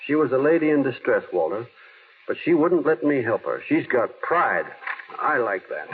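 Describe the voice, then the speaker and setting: A man's voice: mid-range voice, very animated, moderate pace, breathy edge. An actor playing an earnest, guileless small-town man in old-time radio drama style. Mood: groggy. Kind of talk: 1930s-40s radio drama acting. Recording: muffled old radio broadcast, music, noise.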